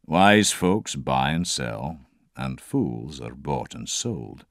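A man voicing a cool, condescending Scottish cattle trader with a Scottish accent, a strong deep voice and a slow, pedantic way of speaking. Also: very animated, creaky, no echo, clean studio sound, full character voice. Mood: dry, sententious.

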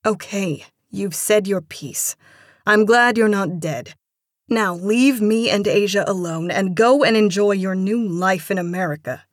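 A woman voicing an angry, bitter and dismissive jilted woman in an audiobook story, with a sharp, biting delivery. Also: fairly low pitch, takes it slowly, hardly any pauses, dry recording, clean studio sound.

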